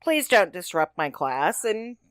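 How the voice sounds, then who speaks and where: A woman's voice: on the low side, very animated, unhurried, fairly bright tone, dry recording, clean studio sound. A woman co-host talking casually and conversationally about a television show. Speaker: podcast co-host. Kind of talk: casual chat, TV episode discussion.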